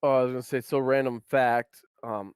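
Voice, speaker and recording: A man's voice: mid-range voice, fairly even intonation, fairly quick, clear, resonant tone. A man from Minnesota co-hosting a podcast, talking casually with many hesitations. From Minnesota, USA. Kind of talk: casual chat.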